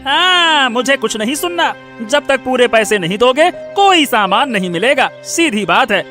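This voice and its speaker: A man's voice: very high-pitched, lively intonation, unhurried, sharp tone, soft background music, heavily acted. A man voicing a greedy, cruel village shopkeeper and husband in a cartoon story, scolding and threatening. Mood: angry, unyielding. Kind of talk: Hindi moral-story cartoon dialogue.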